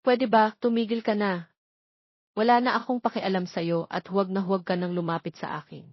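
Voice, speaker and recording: A fierce, proud young woman played by a female narrator in an audio drama, speaking with sharp defiance. Her voice is a little husky, and the recording sounds slightly dull. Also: mid-range voice, flat delivery, brisk, no echo, close to an everyday voice. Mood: angry, defiant. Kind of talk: dramatic audio story dialogue.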